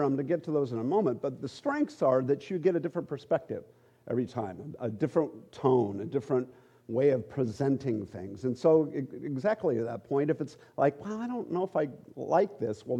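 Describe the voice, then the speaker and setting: A middle-aged man teaching at a church, relaxed and joking, with halting asides. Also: medium pitch, breathy edge. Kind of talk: conversational sermon.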